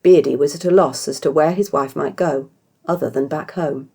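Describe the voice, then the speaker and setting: A woman with a British accent, reading a fantasy story aloud as an expressive narrator. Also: sits low, normal speed, slightly rough. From Britain. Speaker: author reading her own story.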